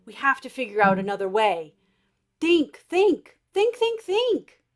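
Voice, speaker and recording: A woman voicing an excitable, bossy, easily exasperated female adventurer from a children's storybook, with dramatic emphasis. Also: high-pitched, unhurried, voice pushed up. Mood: urgent.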